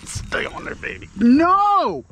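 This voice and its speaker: A man's voice: very high-pitched, animated, takes it slowly, vocal fry, bright, forceful tone, slight background noise. A man filming himself fishing from a kayak on a pond, exclaiming and talking to the fish as he lands it, recorded outdoors on a head-mounted camera. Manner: breathless exclamations, talks to the fish. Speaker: fishing vlogger. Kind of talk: excited self-filmed fishing commentary. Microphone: head-mounted action camera.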